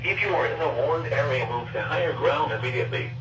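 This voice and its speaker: A man's voice: a little high, expressive, quick pace, distant mic. A synthetic text-to-speech man reads a formal emergency warning over weather radio in list-like phrasing, as an automated announcer. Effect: weather radio broadcast. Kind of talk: scripted emergency warning read-out. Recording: roomy, muffled, noisy weather radio capture.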